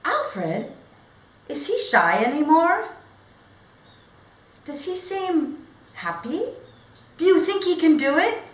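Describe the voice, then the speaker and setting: A woman teacher demonstrating read-aloud storytelling and instruction in simple, expressive phrasing. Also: high voice, slow, long pauses. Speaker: teacher trainer. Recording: echoey room.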